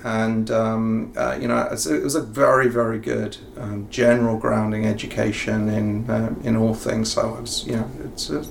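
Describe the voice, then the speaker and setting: Gravelly English man, flat delivery. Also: lowish voice, normal speed, hardly any pauses. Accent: southern English. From England. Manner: rambling, many ums and self-corrections. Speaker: professor and executive guest. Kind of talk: conversational interview answers. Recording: faint ambient noise.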